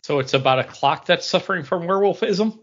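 A man with a slightly high voice chats casually as a podcast co-host. He rambles playfully from point to point. The audio is a bit dull and lo-fi. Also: lively intonation, quick pace. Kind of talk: casual chat.

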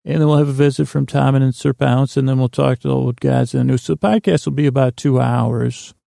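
A man hosting a podcast with a meandering, halting bedtime monologue that keeps drifting off track, in a gravelly, creaky voice. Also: mid-range voice, fairly even intonation, warm tone, dry recording, studio quality. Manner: meandering, halting, digressive, loses his thread. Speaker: podcast host. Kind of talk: rambling bedtime monologue meant to help listeners sleep.

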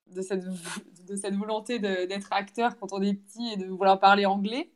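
A woman's voice: medium pitch, flat delivery, moderate pace. Giggly woman guest on a call. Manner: giggly, self-mocking. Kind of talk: casual remote chat. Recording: remote call audio.

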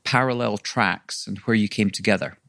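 A man hosting a podcast, reading a measured introduction and then questioning his guests in a conversational way. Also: lowish voice, vocal fry, fairly bright tone, dry recording, studio quality. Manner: measured, with restarts when speaking off the cuff. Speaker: podcast host. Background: faint music.

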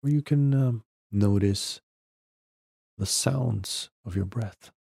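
A man leading a guided meditation, giving gentle step-by-step instructions in short phrases with long pauses between them. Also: fairly low pitch, gravelly, husky, dry recording, studio quality. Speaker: podcast host guiding a meditation.

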